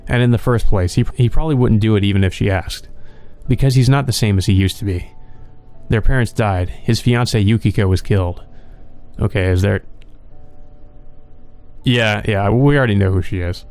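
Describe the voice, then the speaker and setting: A man reading visual novel narration aloud for a let's play, in a plain, measured storytelling manner. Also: on the low side, darkish tone, soft background music.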